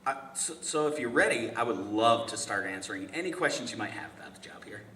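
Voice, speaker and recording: In a noisy, echoey room, a man acts out an earnest, patient family man at a table read. He is pleasant to a fault, chatty and hesitant, with a lively, swooping voice. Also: mid-range voice, fast, recorded from a distance. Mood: eager, polite. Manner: hesitant, chatty, apologetic, stammering. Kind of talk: live script table read.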